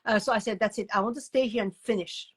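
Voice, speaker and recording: An older woman speaks as an interview guest at a middle pitch. She tells stories in an animated way, wandering into asides and repeating words for emphasis. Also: fast, husky. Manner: animated storyteller, digressive, emphatic repetition. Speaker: guest author and educator. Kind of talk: conversational interview answers.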